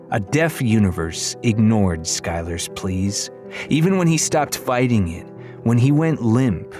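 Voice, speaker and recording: Man narrating fantasy audiobook over music. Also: lowish voice. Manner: expressive storytelling. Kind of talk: scripted dramatic narration of a children's fantasy novel.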